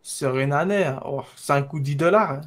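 A man's voice: fairly high pitch, a little slow, close to an everyday voice. A man playing a poor middle-aged immigrant woodsman in a roleplay game, blunt, haggling and cheeky. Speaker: roleplay player. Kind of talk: in-character roleplay.

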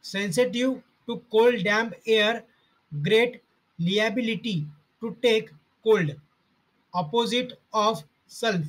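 A man with a high, bright voice reads through medical study notes. He goes slowly and halting, breaking each list into short fragments with pauses between them. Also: low-quality recording. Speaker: homeopathy study narrator. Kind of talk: slow reading of study notes.

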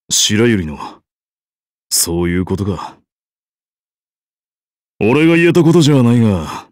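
A man's voice: on the low side, darkish tone, no echo, studio quality. A man voicing a bold, hearty emperor in a game, with a brash, theatrical and casual way of talking. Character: bold, grandiose, hearty emperor and war leader. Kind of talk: game character voice lines.

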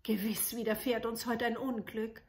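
A woman's voice: a little high, flat delivery, moderate pace, darkish tone, close to an everyday voice. A woman voicing a timid, uneasy youngest princess in a fairy tale.

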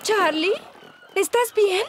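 A woman voicing a gentle, earnest mother in an animated cartoon dub. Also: very high-pitched, slow pace, background noise.

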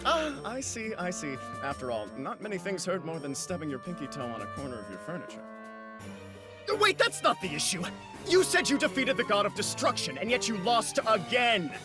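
A man's voice: high-pitched, sing-song, moderate pace. A man playing a haughty, theatrical demon overlord in an anime game, pompous and mocking. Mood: indignant. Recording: loud music behind the voice.

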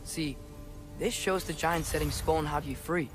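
A man's voice: on the high side, normal speed, over music, natural voice. An actor playing an earnest, eager teenage boy explaining things in a video game cutscene, slightly hesitant.

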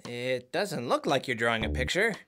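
A woman's voice: very deep voice, very animated, moderate pace, music in the background. A woman voicing a small fuzzy puppet friend in a kids' show, patient, sensible and encouraging as she explains things. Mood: curious. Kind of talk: children's puppet show dialogue.

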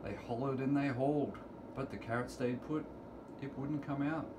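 A middle-aged man reading a children's picture book aloud to preschoolers in deliberate, sing-song storytelling phrasing, recorded in a room at home. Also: medium pitch, steady tone. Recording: clearly noisy home recording, room ambience.

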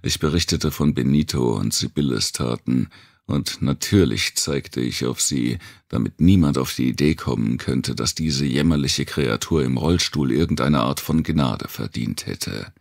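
A man narrating a post-apocalyptic thriller audiobook in the first person, with a measured, deliberate delivery and dramatic pauses. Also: a bass voice, gravelly, no room sound, clean studio sound.

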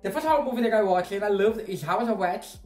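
Excitable Brazilian-accented man, roomy echo. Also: high-pitched, fast talker, faint music behind. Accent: Brazilian Portuguese. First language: Portuguese. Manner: excitable, gushing, swears casually, gestures widely. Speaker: movie reaction host. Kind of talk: casual reaction commentary. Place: small bedroom, indoors. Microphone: wired earphone mic.

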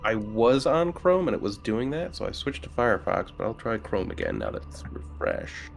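A man's voice: fairly low pitch, sing-song, moderate pace, gravelly, soft background music. A man chatting casually as a player in an online tabletop game. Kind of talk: casual chat. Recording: faint background noise.

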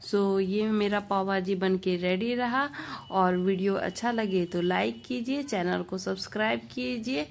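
A woman with a slightly creaky voice, presenting a recipe in voice-over as a home cook. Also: medium pitch, measured pace, a little hiss or hum.

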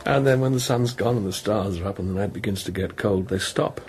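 Low-voiced, brooding priest, played by an actor. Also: male voice, a little mellow, a little hiss or hum, natural voice. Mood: gentle, musing. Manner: brooding, halting, intense, repeating phrases. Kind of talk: radio drama acting, interior monologue and dialogue.

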